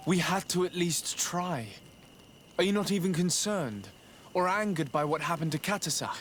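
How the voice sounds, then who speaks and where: An actor playing a composed, diplomatic envoy in a video game, formal, measured and earnest. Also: male voice, high voice, expressive, a bit bright, slight background noise. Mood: troubled. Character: composed, diplomatic young male envoy seeking his lost cousin. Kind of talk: video game cutscene acting.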